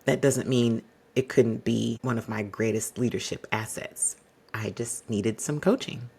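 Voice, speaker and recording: A man hosting an advice podcast, speaking to the listener in a polished, exacting and fairly monotone way. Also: mid-range voice, vocal fry, slightly rough. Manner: measured, exacting, addresses listener directly. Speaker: podcast host.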